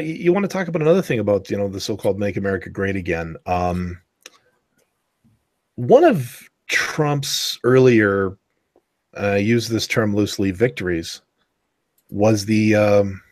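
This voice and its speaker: Man, lowish voice, dry close sound, rambling, self-correcting. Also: lively intonation, a little slow, pauses often, no room sound. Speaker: podcast co-host. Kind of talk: casual chat.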